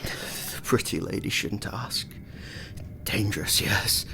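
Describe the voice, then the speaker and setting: An actor playing a deranged, fearful male asylum patient who stammers and speaks of himself as a servant in an audio drama. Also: close to an everyday voice. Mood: fearful, devoted. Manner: halting, stammering, muttering in third person. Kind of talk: theatrical audio drama acting.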